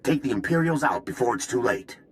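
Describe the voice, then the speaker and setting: A man's voice: medium pitch, lively intonation, brisk, close to an everyday voice. A man voicing an earnest, worried resistance ally in a video game, speaking with urgency and passion. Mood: urgent, pleading. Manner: urgent, impassioned.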